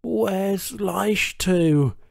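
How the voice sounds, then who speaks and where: A man's voice: high voice, vocal fry, darkish tone, no room sound, studio quality, pitched above the performer's own voice, exaggerated voice. A man voicing a weak but defiant male captive in an audiobook, strained and effortful as if strapped down in pain. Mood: feeble, pained. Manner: mumbling, gasping, slow and effortful. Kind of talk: audiobook character acting.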